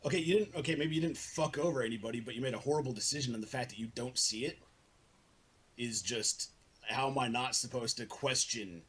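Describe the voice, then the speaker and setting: Rough husky guy, mid pitch, calling someone out in an argument. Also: a little fast, a bit bright. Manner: accusatory, blunt. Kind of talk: heated argument in a voice chat.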